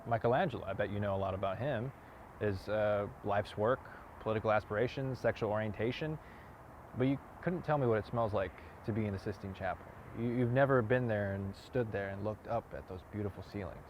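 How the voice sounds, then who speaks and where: A man performing a dramatic monologue as a wounded, earnest man confronting someone, halting and repeating words for emphasis. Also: fairly low pitch, close to an everyday voice. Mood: quiet, reproachful. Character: wounded, earnest man confronting a cocky youth, grieving lover. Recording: dull lo-fi audio, clear outdoor noise.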